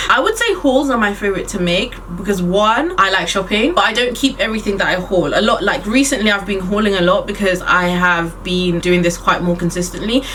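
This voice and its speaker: A woman's voice: fairly low pitch, a bit bright, far from the mic, a little hiss or hum, low-quality recording. A woman in her late twenties chatting casually and playfully to her viewers in a Q&A vlog. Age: 20s. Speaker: beauty and lifestyle vlogger.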